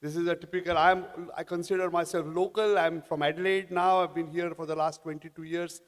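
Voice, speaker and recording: A man, giving a conference talk in a halting way with many restarts. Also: a little high, little pitch movement. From India. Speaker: panel speaker. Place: large conference hall. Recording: long hall reverb.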